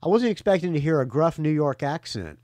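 A man's voice: fairly high pitch, lively intonation, fairly quick, dark tone, no echo, studio quality. A man with a faded Southern US accent, chatting casually as the host of a rock podcast. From Jacksonville, Florida, US. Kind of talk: casual phone chat on a rock music podcast.

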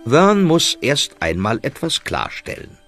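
A man narrating a scripted children's audio story with dramatic, lively delivery. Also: mid-range voice, measured pace. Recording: music behind the voice.

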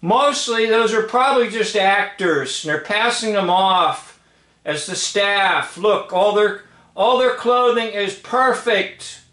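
A man with a high-pitched voice commentates on film footage in an unscripted, emphatic way. He speaks slowly, repeating phrases and checking that the listener follows. The recording is roomy, with clear echo around his voice. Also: sharp tone. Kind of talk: off-the-cuff commentary over old film footage. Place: indoor room, filming a screen. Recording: roomy, echoing home recording.

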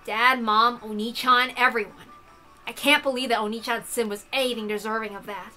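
A woman's voice: on the high side, steady tone, moderate pace, sharp tone, faint music behind. A woman voicing an earnest, troubled teenage girl in a visual novel, in an insistent, questioning manner. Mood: upset. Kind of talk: visual novel character reading.

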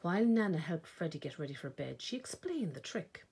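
An Irish woman reading a children's picture book aloud expressively, with dramatic pauses and emphasis. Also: lowish voice, big pitch swings, background noise. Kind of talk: storytime read-aloud narration.

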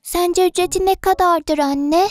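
A woman's voice: very high-pitched, steady tone, unhurried, faint music behind. A woman voicing a sweet, worried little girl, childlike and pleading, in a children's cartoon dub. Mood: curious. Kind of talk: dubbed children's cartoon acting.

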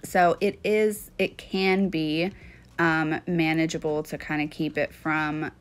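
Chatty sniffly woman, lower voice. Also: level tone, slow pace, smooth. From Maryland, USA. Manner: chatty, earnest, with frequent fillers. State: sniffly from allergies. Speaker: nail art channel host. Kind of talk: casual chat to camera.

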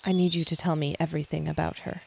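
An insistent, frustrated female android , voiced by a woman, makes terse demands in an audio drama. Her voice is somewhat low and noticeably creaky, with faint noise in the background. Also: flat delivery, quick pace, darkish tone.

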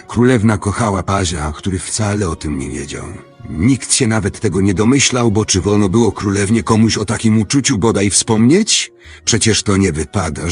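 A grand old-world master of the hours tells a fairy tale for a radio play. His voice is low and heavily husky, with a storyteller's delivery.

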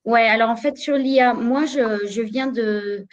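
A woman's voice: fairly high pitch, unhurried, lo-fi sound. A woman from Canada, speaking as a supply chain manager on a video call panel, hesitant and full of fillers. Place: office room. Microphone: video call webcam.

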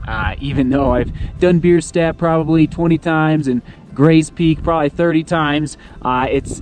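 A man in his thirties, a running vlogger, talking casually and upbeat to a camera held at arm's length. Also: mid-range voice. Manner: upbeat, chatty, thinking aloud. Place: mountain summit, car, shop, indoor room. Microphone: action camera at arm's length.

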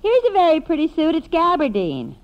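A woman's voice: extremely high pitch, wildly swooping pitch, brisk, heavily acted. A woman playing a frank, wry shopping companion in a 1950s American radio comedy. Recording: muffled 1950s radio recording before audience.

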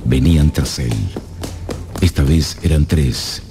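A man with an extremely low voice narrates a radio drama. His phrasing is measured and suspenseful, with clear music playing behind him.

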